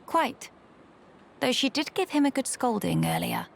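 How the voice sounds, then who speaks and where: A woman's voice: high-pitched, very animated, unhurried, vocal fry, slight background noise, full character voice. A woman playing a dignified, earnest young noblewoman in a video game, with formal and precise speech. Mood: composed.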